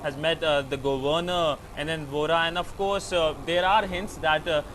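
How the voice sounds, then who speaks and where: A man's voice: fairly high pitch, fairly even intonation, moderate pace, background noise. A man with an Indian English accent anchoring the news and questioning a reporter live.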